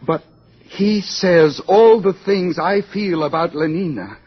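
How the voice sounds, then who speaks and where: Earnest tormented young outsider, poetic and intense, rough-edged voice, muffled old radio drama. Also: male voice, sits high, slow, heavily acted. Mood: fervent, lovestruck. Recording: dull, lo-fi old radio recording.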